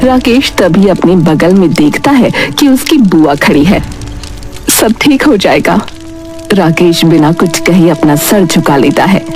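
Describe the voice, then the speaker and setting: A woman's voice: fairly low pitch, unhurried, hardly any pauses, darkish tone. A woman narrator telling a scripted, dramatic cartoon story. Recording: music behind the voice.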